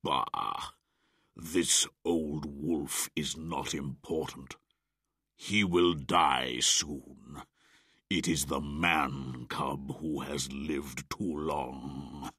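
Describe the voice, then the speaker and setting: A man voicing a cruel, menacing male tiger who speaks very slowly. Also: a bass voice, steady tone, bright, forceful tone, slightly rough, no room sound, studio quality, full character voice. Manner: slow, drawn-out menace.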